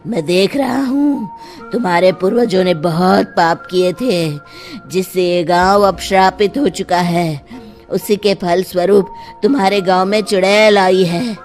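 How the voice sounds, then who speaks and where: A woman's voice: on the low side, measured pace, darkish tone, soft background music. A woman voicing a solemn old tantric. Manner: solemn, portentous. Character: grave old male tantric holy man. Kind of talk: cartoon voice acting.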